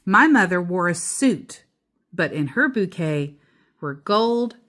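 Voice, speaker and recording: A woman reading a children's book aloud as a storyteller, deliberately, pausing between short phrases and adding casual asides. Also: on the low side, animated, a bit bright.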